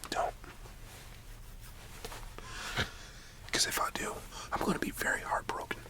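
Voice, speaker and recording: Guy doing a strict but caring principal, asmr roleplay, all whispered and crisp sharp, folksy telling-off. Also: fast talker, lightly acted. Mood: pleading. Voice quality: breathy, unvoiced whisper. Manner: informal, admonishing, folksy phrasing. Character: stern but caring male high school principal scolding a student.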